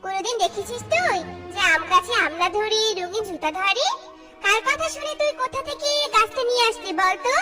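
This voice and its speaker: A woman's voice: very high-pitched, fairly quick, over loud music. A woman voicing a scolding, easily astonished mother bird in a children's cartoon, full of exclamations and questions.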